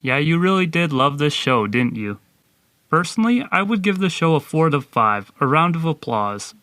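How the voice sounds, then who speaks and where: A man's voice: on the high side, very animated, moderate pace, pushed and bright, dry recording. Man hosting a podcast review, dry sound.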